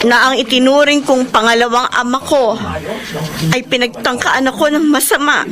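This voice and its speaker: A woman's voice: high voice, steady tone, crowd noise, natural voice. An actress playing a troubled, pleading young woman in a radio drama, with a Filipino accent. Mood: tearful, confessing. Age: young adult. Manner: emotional, pleading, drawn-out delivery. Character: troubled young niece, fearful, pleading, publicly accusing. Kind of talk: Tagalog radio drama acting.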